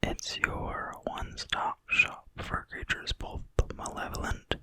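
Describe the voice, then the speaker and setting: An ASMR host reading a game manual aloud in a quiet, breathy, unvoiced whisper with deliberate phrasing. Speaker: ASMR video host and reader.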